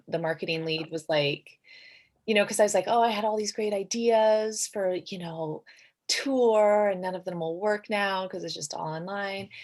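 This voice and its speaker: A woman, a debut author, talking casually on a panel and often saying "like". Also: lowish voice.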